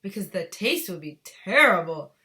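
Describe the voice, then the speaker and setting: Eccentric male confectioner, acted by a woman, children's story, blithe, exclamatory, animated pitch, bright tone. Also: normal speed. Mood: breezy, amused.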